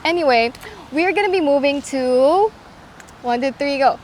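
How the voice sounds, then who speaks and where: A young woman chatting casually to camera as a vlogger, full of fillers and quick restarts. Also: sits high, animated, darkish tone, slight background noise. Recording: music bed underneath.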